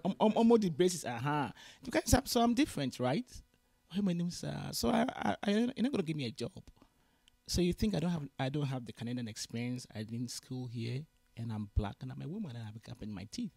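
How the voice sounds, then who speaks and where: Gravelly Ghanaian woman telling stories. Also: low-pitched, a little fast. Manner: switches freely between English and Twi, animated in retelling dialogue. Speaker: guest. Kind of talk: casual storytelling interview. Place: Canada.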